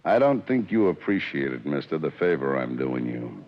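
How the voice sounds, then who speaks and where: Brief: deep, menacing hitman, old lo-fi radio drama. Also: very animated, normal speed, heavily acted. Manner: curt, menacing, slangy tough-guy talk. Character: cold tough male hired killer, sneering. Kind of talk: 1940s radio drama acting. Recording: dull lo-fi old radio broadcast.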